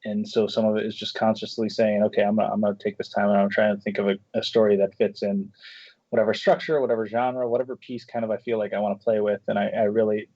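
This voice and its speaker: A man speaking casually as an interview guest, a screenwriter and director, in a halting, thoughtful way that circles back through rephrasings. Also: lowish voice, flat delivery, dark tone, dry recording. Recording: dull, muffled lo-fi audio.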